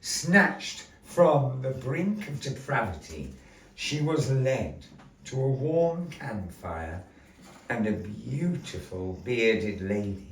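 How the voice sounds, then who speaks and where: A man narrating a puppet show tale to a live audience, with a slow, theatrical delivery that rises and falls. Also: mid-range voice, big pitch swings, recorded from a distance. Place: gallery space. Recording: echoey gallery room, voice from afar, faint noise.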